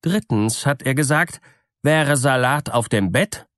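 A man voicing a sly, smooth-talking peasant trickster in a fairy tale, measured, knowing and teasing. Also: medium pitch, animated, a bit bright, dry recording, clean studio sound, voice pushed up.

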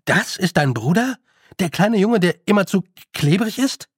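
Audiobook role: man playing a young boy, lordly yet unsure, stammering, raised pitch, husky edge, dry sound. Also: animated, a little slow, no room sound, full character voice. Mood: baffled. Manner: hesitant, stammering, slightly haughty. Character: young boy, lordly but naive and nervous. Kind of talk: audiobook character voice.

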